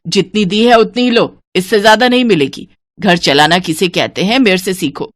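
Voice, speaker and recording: A woman's voice: mid-range voice, moderate pace, dry recording, natural voice. A woman voicing a stingy, cunning older mother-in-law who scolds and gives orders in an audio story. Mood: scolding.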